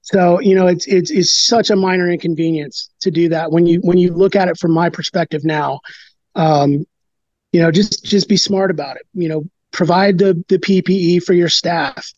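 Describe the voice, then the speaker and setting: A man in his thirties explains things in a casual, halting way, full of fillers and restarts. His voice sits fairly high for a man. The sound is dry and a bit dull, like a webcam call. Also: fairly even intonation, a little mellow, no echo. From United States. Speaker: brewer, interview guest. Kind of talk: casual interview talk.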